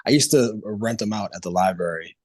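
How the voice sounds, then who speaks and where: A man in his thirties with a very deep, low voice, chatting as an interview guest. He rambles loosely, circling back with fillers and restarts. The sound is dry and close, with little room around it. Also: brisk, a little mellow, no room sound. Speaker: voice actor guest. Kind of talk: casual interview chat.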